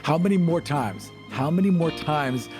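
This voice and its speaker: A man playing a big, angry, fearless rabbit-man mercenary who talks in a gruff, impatient, demanding way in a fantasy tabletop game. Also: on the high side, moderate pace, music in the background, lightly acted. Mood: fed up.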